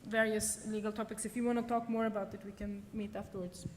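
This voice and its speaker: A woman's voice: medium pitch, flat delivery, fast. Woman speaking in a reverberant hall. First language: Swedish. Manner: thinks aloud with frequent ums and restarts. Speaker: conference speaker. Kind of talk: conference talk and Q&A answers. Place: large lecture hall. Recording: long hall reverb, faint noise.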